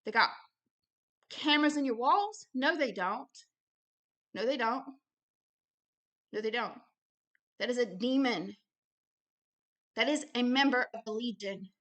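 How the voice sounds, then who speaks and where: Emphatic, bright-voiced woman preaching. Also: a little high, animated, a little slow, long pauses, lo-fi sound. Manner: emphatic, blunt, repeats phrases for stress. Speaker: ministry founder and host reading and commenting on a book.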